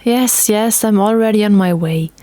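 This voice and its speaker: A woman as young boy, shy, polite, halting, reluctant, audio play, dry sound. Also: mid-range voice, mellow, dry recording, natural voice. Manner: hesitant, halting.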